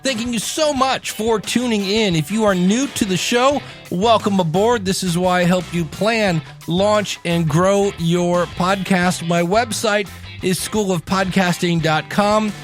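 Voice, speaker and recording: A man's voice: high voice, measured pace, soft background music. An American man hosting a solo podcast, coaching listeners in a conversational, direct way. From United States, Ohio. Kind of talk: solo podcast talk, teaching.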